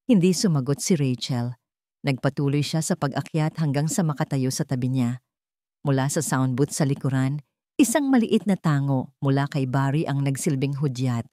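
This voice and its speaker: A woman narrates a scripted drama as a storyteller. Her voice is low for a woman, and the recording is dry with hardly any room sound. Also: lively intonation, moderate pace, dark tone, no room sound.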